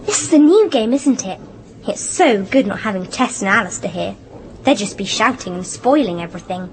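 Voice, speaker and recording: A female performer playing an eager, curious young British girl in a radio drama, talking in an open, excitable, childlike way. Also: medium pitch, moderate pace, background noise, close to an everyday voice. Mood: curious, excited.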